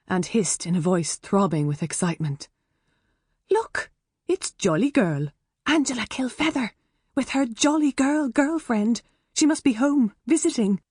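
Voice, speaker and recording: Low-voiced Irish woman reading a novel. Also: a little mellow, dry recording, clean studio sound. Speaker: audiobook narrator. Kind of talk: scripted first-person novel narration.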